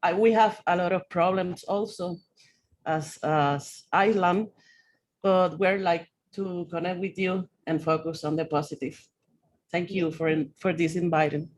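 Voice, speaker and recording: A woman's voice: on the low side, fairly even intonation, unhurried. A Puerto Rican woman who speaks Spanish as her first language, a design teacher, rambling with frequent "like" fillers on a video call. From Puerto Rico.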